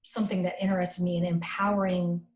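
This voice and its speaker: A middle-aged woman, an executive telling stories in an interview, animated and full of fillers. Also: fairly low pitch, quick pace. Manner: animated, acts out quoted dialogue, many fillers; self-described strong voice, not shy. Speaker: interview guest, company executive. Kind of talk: casual conversational storytelling.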